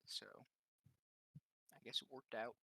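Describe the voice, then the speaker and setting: A man's voice: slow, bright, forceful tone, no echo. A man chatting casually as a player in a tabletop game. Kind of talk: casual chat.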